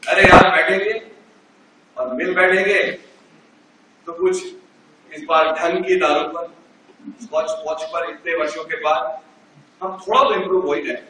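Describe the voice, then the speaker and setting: A man's voice: fairly high pitch, fairly even intonation, unhurried, long pauses, sharp tone, very echoey, slight background noise. An older man on an amateur stage reading letters to an old friend aloud, jovial and teasing, heard from far off in a large auditorium. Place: large auditorium, no sound system.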